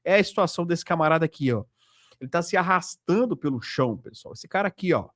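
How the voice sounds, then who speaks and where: A Brazilian man speaks in a fairly high voice, in a dry, close recording. He hosts informally, talking straight to his viewers and often checking in with short tag questions. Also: expressive, fast talker, fairly bright tone, no echo. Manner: conversational, repetitive, direct address with frequent tag questions. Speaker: host of a political video channel. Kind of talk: informal commentary and donation appeal to viewers.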